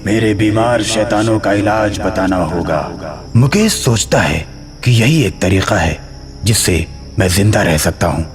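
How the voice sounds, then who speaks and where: A man's voice: lowish voice, long reverb tail. A man giving scripted narration for a horror story.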